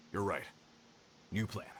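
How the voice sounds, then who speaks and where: A man voicing a brisk, earnest search party leader in a video game, decisive and businesslike. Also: lowish voice, background noise. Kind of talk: dramatic video game dialogue.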